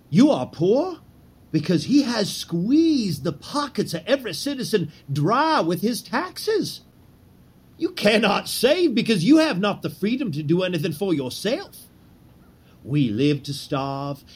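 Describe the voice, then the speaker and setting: Man playing zealous plot leader, historical drama, oratorical, slow and deliberate, widely swinging intonation. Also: high voice, husky, exaggerated voice. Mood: bitter, persuasive. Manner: rhetorical, declamatory. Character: fervent, zealous male conspirator leader, grandly oratorical.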